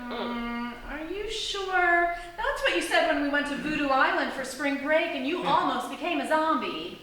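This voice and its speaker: A woman's voice: high voice, lively intonation, normal speed, fairly bright tone. A woman playing a nervous, suspicious young girlfriend in a comic horror radio play. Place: theatre stage before a live audience. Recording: echoey theatre, distant mic.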